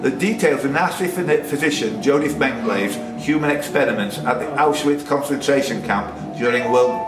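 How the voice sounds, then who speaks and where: A man's voice: medium pitch, moderate pace, far from the mic. A man hosting a quiz, reading out questions and bantering casually with the players. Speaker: quizmaster. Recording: echoey room, off-mic, music behind.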